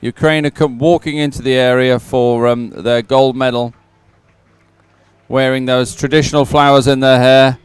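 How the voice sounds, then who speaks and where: Male sports studio host. Clean, smooth voice, close dry sound, chatty and lightly joking. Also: medium pitch, steady tone, normal speed, dry recording. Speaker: host and commentator. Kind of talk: casual live sports studio chat and commentary.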